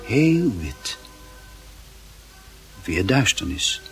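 A man's voice: mid-range voice, very animated, drawn-out words, soft background music. A man reading scripted literary narration as the narrator of a radio drama.

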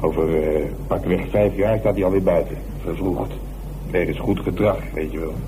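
An actor playing a cynical, hard-boiled police inspector in a radio drama, talking in a wry, mocking, colloquial way. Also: male voice, low-pitched, fairly even intonation, normal speed. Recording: humming, noisy radio play recording.

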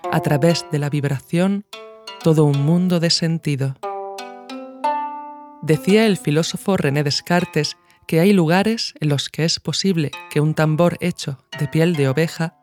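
A woman narrates a scripted essay as podcast host in a measured, literary way. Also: sits low, a little mellow.

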